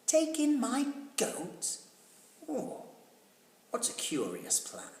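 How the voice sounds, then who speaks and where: A storyteller voicing an excitable little old lady who grumbles and exclaims dramatically, from a storybook. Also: mid-range voice, drawn-out words, pitched above the performer's own voice, full character voice. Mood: puzzled. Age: elderly. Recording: echoey, reverberant room.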